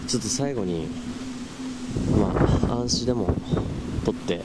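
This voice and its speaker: A man's voice: lowish voice, measured pace, heavy background noise. A man casually narrating his visit to a haunted spot as the host, talking informally as if telling a friend. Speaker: host of ghost-spot exploration videos. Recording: music laid under the voice. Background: background music.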